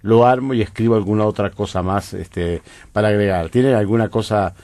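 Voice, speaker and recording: A Uruguayan man talks in a low, soft-spoken voice with a dry, close studio sound. He speaks in a measured, reflective way, as a guest poet in a radio interview. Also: no room sound.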